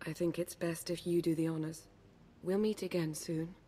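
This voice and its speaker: An actress playing an earnest, composed agent in a video game, speaking in a formal, measured way. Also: female voice, on the low side, steady tone, warm tone, slight background noise, lightly acted. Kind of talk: cinematic video game acting.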